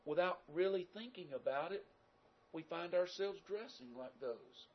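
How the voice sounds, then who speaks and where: A Southern American man delivers a folksy, conversational sermon, his voice husky and pitched a touch high. He pushes his points up with emphatic rises. The recording sounds dull and lo-fi. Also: animated, normal speed. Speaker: preacher.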